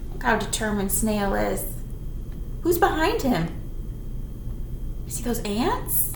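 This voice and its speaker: A woman's voice: fairly high pitch, sing-song, takes it slowly, creaky, reverberant, a little hiss or hum, low-quality recording. A woman leading a children's storytime, reading aloud in a singsong way and chatting to young listeners.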